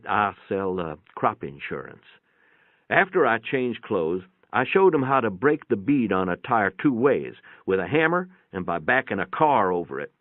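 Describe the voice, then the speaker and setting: A low-pitched male narrator reads a first-person story in a folksy, conversational way. The recording is narrow and radio-like, and dry, with very little room around the voice. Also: dry recording. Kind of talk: dramatic reading of a first-person short story. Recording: thin, band-limited, radio-like sound.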